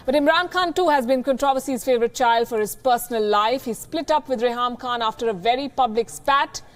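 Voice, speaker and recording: High-voiced woman news anchor, music under. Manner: crisp, measured newsreader delivery. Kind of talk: scripted news report and interview.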